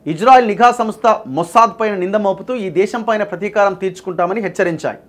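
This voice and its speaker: Male news presenter, Telangana accent, high register, emphatic explanatory delivery. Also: fast talker, a bit bright. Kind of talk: news reading with explanatory commentary.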